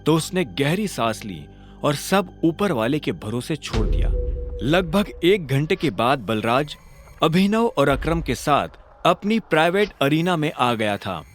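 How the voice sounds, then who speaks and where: A man narrates an audio drama in a dramatic, scripted style. His voice sits fairly high and moves in lively swings, with music playing behind him. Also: measured pace.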